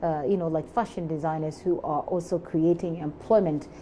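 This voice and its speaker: A woman's voice: deep voice, steady tone, moderate pace, dark tone, slight background noise. A woman with a Ghanaian accent interviewing a guest on a broadcast news show.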